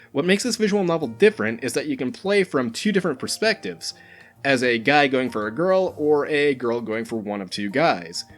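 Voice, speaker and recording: A man hosts a scripted countdown of recommendations in a mid-pitched voice that moves up and down a lot. His narration is informal and dryly humorous. Music plays faintly behind him. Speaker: host of a video game recommendation countdown. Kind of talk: scripted narration of a top list.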